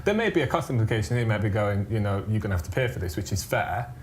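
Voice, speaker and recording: A British man with a lowish voice, moderating an industry panel in a loose, casual way. He often repeats a word before going on. There is faint room noise at the venue. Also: big pitch swings, fast. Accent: British English. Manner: casual, hesitant, repeats words. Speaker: panel moderator and event organiser. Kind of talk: panel discussion. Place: event venue in central London.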